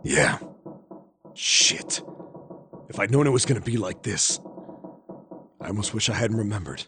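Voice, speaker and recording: Low, haunted male narrator. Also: very animated, measured pace, background noise. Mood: bitter, pained. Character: haunted man painfully recounting a traumatic memory. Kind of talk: dramatic first-person game narration.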